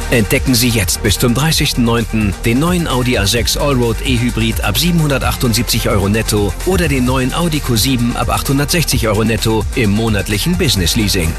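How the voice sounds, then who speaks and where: Ad voice, male, mid pitch, polished read, clear music underneath. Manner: smooth, polished commercial read. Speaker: ad voice-over. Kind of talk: radio commercial. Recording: voice over music bed.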